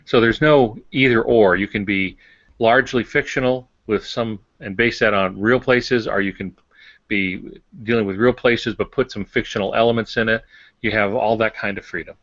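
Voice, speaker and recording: An older man giving casual, encouraging writing advice as a podcast co-host, in a measured manner. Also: fairly low pitch, steady tone, fairly bright tone, dry recording. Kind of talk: casual instructive talk. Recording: dry, muffled lo-fi online-call audio.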